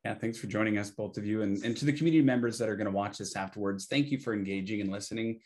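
A man's voice: lowish voice, very fast, a little mellow. A man hosting an online meetup, friendly and thankful, inviting the guests to speak. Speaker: meetup host.

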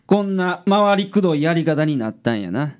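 A woman's voice: deep voice, animated, normal speed, darkish tone. A woman playing a cool, dry-witted male private detective with a Kansai accent in a radio drama. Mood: dry, teasing.

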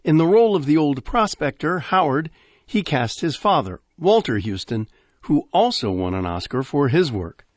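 An older man hosting a radio program, smoothly introducing a vintage broadcast. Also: a little high, very animated, moderate pace, dry recording, clean studio sound. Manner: smooth, informative announcing. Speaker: radio host.

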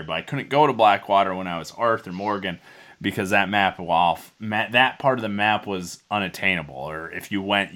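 A man's voice: low voice, fairly bright tone. A man telling a story casually as a podcast co-host, rambling and swearing freely.

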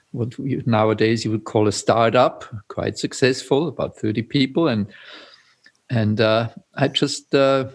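An older man from Austria with an Austrian German accent, a Zen priest speaking as an interview guest, pausing often with fillers and soft chuckles. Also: medium pitch. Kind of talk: reflective interview talk.

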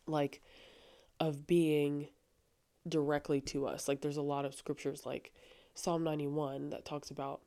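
A young adult woman with a low voice talking earnestly and casually on her faith podcast, with frequent fillers. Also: dark tone. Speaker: podcast host. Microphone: studio microphone.

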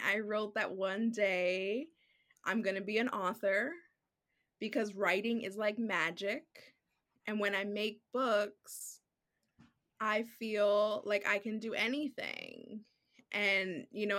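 A woman guest whose first language is Spanish, telling her story in a relaxed, chatty way. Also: medium pitch. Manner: relaxed, chatty, heavy use of "like" and "um". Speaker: children's book author, guest. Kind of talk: casual podcast conversation.

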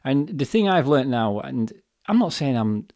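A man's voice: medium pitch, very animated, moderate pace, a little mellow, dry recording, studio quality. A man co-hosting a podcast, explaining his views in a fluent, chatty way with acted-out quotes. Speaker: podcast co-host. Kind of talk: casual chat. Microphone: desk microphone.

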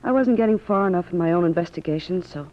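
A woman's voice: on the low side, fast, lightly acted. An actress playing an earnest, secretive young woman informant in a 1940s radio drama. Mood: earnest, explaining. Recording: muffled old radio recording.

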